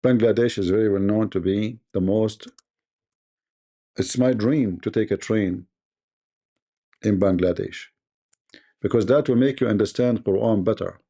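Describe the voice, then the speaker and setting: A man's voice: lowish voice, unhurried, long pauses, dark tone. A man hosting a live call-in debate, pressing his caller with repeated questions in broken English. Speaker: debate livestream host.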